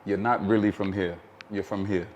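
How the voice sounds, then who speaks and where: An American man as an interview guest, telling his story in a thoughtful, measured way with frequent fillers. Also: on the low side, fairly even intonation, darkish tone, dull audio, slight background noise. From United States.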